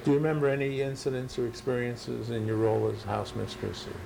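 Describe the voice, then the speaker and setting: A man's voice: mid-range voice, little pitch movement, fast talker, gravelly, background noise. A man interviewing someone for an oral history, asking follow-up questions and giving short acknowledgements. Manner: attentive, frequent short acknowledgements.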